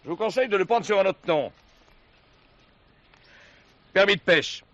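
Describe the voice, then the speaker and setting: Bossy, high-voiced gendarme. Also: male voice, lively intonation, moderate pace, fairly bright tone. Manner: barking orders. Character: bossy, officious male gendarme subordinate. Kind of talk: 1970s French television drama acting. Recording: muffled, noisy outdoor drama recording.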